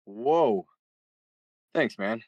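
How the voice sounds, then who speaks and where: A man's voice: medium pitch, expressive, very slow, darkish tone, no room sound. Dry lo-fi mic, man playing rowdy male party host, flirty, exuberant, comedy film read. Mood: giddy.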